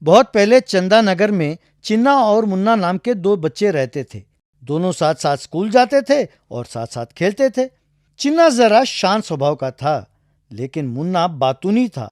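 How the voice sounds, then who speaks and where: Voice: medium pitch, big pitch swings, a little slow, a little mellow, no echo, clean studio sound. A storyteller narrating a scripted tale for children.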